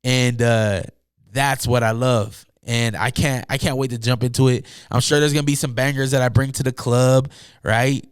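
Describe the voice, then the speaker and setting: A young man with a hoarse, tired voice hosting a casual solo podcast, rambling loosely with many fillers and asides from a room at home. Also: medium pitch, fairly even intonation, normal speed, no room sound, studio quality. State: hoarse, losing his voice. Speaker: podcast host.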